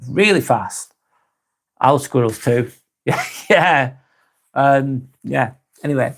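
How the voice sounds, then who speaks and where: A man's voice: mid-range voice, expressive, takes it slowly. An English man hosting a live vlog, chatting casually with viewers, often correcting himself and laughing easily. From England. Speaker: live vlog host reading chat. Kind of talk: casual livestream chat.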